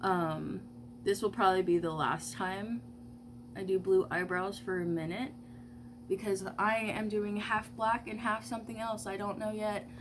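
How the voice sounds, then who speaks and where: A woman's voice: mid-range voice, steady tone, measured pace, background noise. Congested woman, early twenties, loose rambling vlog chatter with swearing. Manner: rambling, filler-heavy, swears casually. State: congested, stuffy nose. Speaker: vlogger. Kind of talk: casual get-ready-with-me chat. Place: at home doing makeup.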